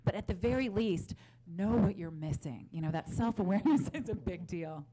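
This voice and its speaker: A woman's voice: mid-range voice, expressive, moderate pace, dark tone. A woman moderating a live investor panel, talking in a conversational way with frequent fillers.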